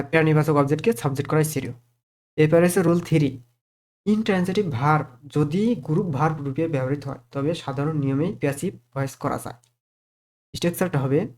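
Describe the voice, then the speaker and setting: A man's voice: fairly high pitch, darkish tone. A man giving a step-by-step grammar lesson as a teacher, posing questions and answering them himself and often checking that listeners follow. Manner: didactic, poses questions and answers them, frequently checks understanding.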